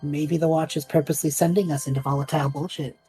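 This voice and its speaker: A woman playing a cocky, cheeky young male adventurer who talks in a flippant, chatty, excitable way in a fantasy audio drama. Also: low-pitched, quick pace, slightly rough, faint music behind.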